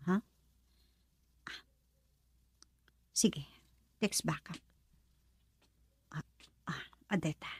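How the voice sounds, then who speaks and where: An actress playing a tired, modest young working woman in an Ilocano radio drama, with musing, drawn-out interjections. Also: mid-range voice, a little mellow, breathy edge, no echo, full character voice. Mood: weary musing.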